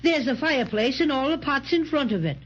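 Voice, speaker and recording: Little toy bear, high, lively, sassy, take-charge, muffled vintage radio recording. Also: female voice, a little fast. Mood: brisk. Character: small, plucky, bossy toy bear. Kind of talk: 1930s children's radio serial acting. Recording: dull, hissy 1930s radio recording.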